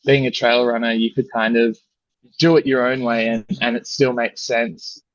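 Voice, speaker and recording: An Australian man, a brand founder, chatting casually in an interview, relaxed and rambling with lots of "you know" and "like". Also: medium pitch, moderate pace, no echo, dull audio.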